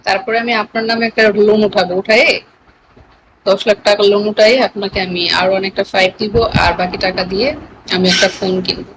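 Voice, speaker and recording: A woman's voice: mid-range voice, flat delivery, quick pace, noisy background. A woman with a Bangladeshi accent chatting casually with live stream viewers in a playful, teasing manner.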